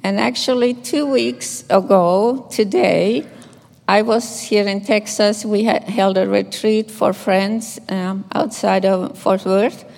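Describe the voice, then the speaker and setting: An older woman from Finland with a Finnish accent, a nun reading scripture and giving a gentle, measured memorial tribute. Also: medium pitch, gravelly. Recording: echoey hall reverb.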